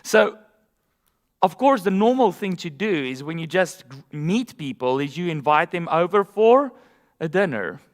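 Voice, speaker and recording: Pastor with a high voice. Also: male voice, sing-song, measured pace, long reverb tail. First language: Afrikaans. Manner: conversational storytelling with asides. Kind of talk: sermon.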